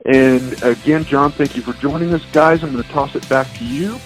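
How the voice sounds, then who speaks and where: A man's voice: medium pitch, moderate pace, soft background music. A man hosting a sports show, interviewing a guest in a casual, conversational style.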